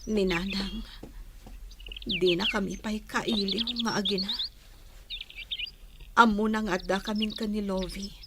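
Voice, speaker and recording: A woman's voice: medium pitch, fairly even intonation, slow, long pauses, very noisy, lightly acted. A woman playing a weary, troubled young mother in a radio drama, with emotive, sighing delivery. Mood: sad, subdued. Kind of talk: Philippine radio drama acting.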